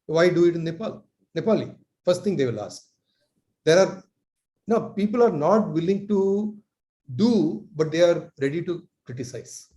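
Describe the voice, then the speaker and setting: An earnest man from India speaks on a video call, his voice warm and mellow and a little high. He leads the meeting as lecturer and pauses often with fillers. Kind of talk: online meeting talk.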